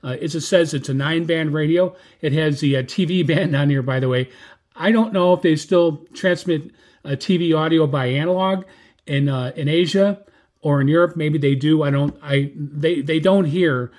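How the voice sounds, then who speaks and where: An American man giving a casual, unscripted product review as a gadget reviewer, with a halting, self-correcting delivery. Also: a little high, a little mellow. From United States. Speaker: radio reviewer.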